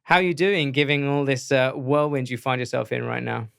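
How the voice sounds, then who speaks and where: A man hosting a podcast, reading a scripted intro in a measured, earnest way before interviewing his guest. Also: medium pitch, fairly even intonation, vocal fry, fairly bright tone, clear, resonant tone. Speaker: podcast host.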